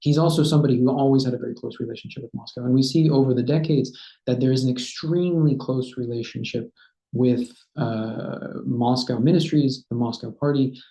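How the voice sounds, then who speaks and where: A man in his thirties, a historian, giving a measured academic talk from a home study over a video call, often pausing with "uh" and checking in with "right?". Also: medium pitch, dark tone. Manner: measured lecturing with frequent "uh" and "right?". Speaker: historian, guest speaker. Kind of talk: academic talk answering a question. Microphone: laptop webcam on video call. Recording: dull, roomy video-call audio.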